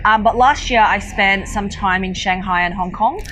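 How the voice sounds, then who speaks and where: A woman's voice: mid-range voice, normal speed, fairly bright tone, slight background noise, poor audio. A woman from Malaysia speaking casually as an interview guest, explaining her work and giving advice. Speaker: interview guest, digital marketer.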